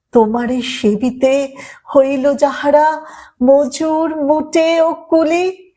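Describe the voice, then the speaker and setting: A woman with a notably high voice recites verse as a teacher would for students. Her delivery is very slow and declamatory, with each phrase set out deliberately and stressed for dramatic effect. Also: darkish tone. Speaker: Bengali teacher reciting a poem.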